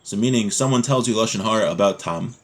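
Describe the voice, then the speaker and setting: A man's voice: fairly low pitch, quick pace. A man giving an informal religious lesson, explaining a text in a conversational way with rhetorical check-ins as a teacher.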